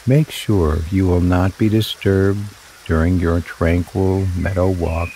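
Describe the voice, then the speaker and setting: A man guiding a relaxation meditation in slow, soothing, drawn-out phrases. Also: sits low, steady tone, mellow, slight background noise, low-quality recording. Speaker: meditation guide. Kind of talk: guided meditation narration.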